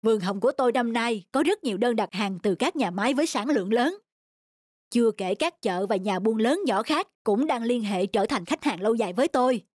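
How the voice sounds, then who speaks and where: A woman's voice: fairly high pitch, lively intonation, fairly quick, dry recording, clean studio sound. A woman playing a conscientious, thoughtful male farmer in a children's cartoon, earnest and reflective.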